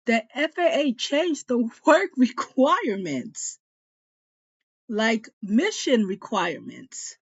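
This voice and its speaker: High-pitched woman, slow news reading. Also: sing-song, slightly rough, no echo, highs cut off. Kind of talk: reading a news report aloud, slightly halting.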